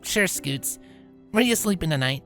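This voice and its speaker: A confident grown pony mare acted by a woman talks with lively, animated intonation. She is casual and caring with a cocky edge, a storybook heroine. Faint music sits underneath. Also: on the low side, normal speed. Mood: gentle, caring. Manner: casual, reassuring, a touch cocky. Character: confident, playfully cocky, caring adult female pegasus pony. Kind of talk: audio storybook dialogue.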